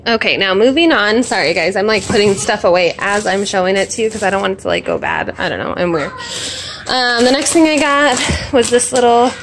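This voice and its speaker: A woman's voice: medium pitch, very animated, a little fast. A young woman chatting casually at home in her kitchen, rambling with lots of fillers and self-deprecating asides while filming on a phone. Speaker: vlogger. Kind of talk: casual grocery haul chat.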